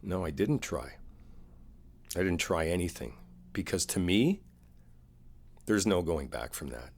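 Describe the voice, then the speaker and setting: Very deep-voiced, slightly husky boyfriend played by a man. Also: animated, a little slow, heavily acted. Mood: subdued, hurt. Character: wounded, devoted boyfriend, earnest and reassuring. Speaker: audio roleplay performer. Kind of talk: boyfriend ASMR roleplay, intimate one-sided dialogue.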